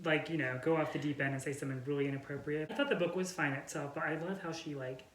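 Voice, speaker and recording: A woman co-hosting a casual book vlog, talking in a halting way sprinkled with "like". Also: low-pitched. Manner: halting, filler-heavy with frequent "like". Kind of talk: casual co-hosted chat about books. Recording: roomy, echoey home recording.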